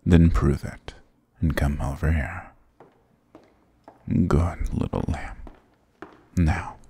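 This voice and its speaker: A man's voice: warm tone, exaggerated voice. A man voicing a dominant, sarcastic demon king in an ASMR roleplay, teasing and menacing, close to the mic. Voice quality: very deep, gravelly, husky. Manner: sarcastic, teasing, menacing purr with low chuckles. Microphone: close, dry microphone.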